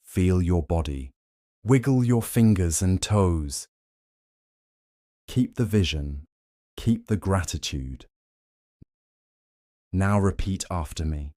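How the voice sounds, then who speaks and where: Man, very low mellow voice, guided meditation, soothing short phrases, close dry sound. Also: expressive, dry recording. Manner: soothing, gently instructive, short measured phrases.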